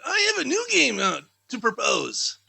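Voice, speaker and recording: A man voicing a cheerful, good-natured young Victorian gentleman in a chatty, animated way for a storybook. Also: very high voice, measured pace, gravelly, breathy edge, pitched above the performer's own voice, full character voice. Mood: playful.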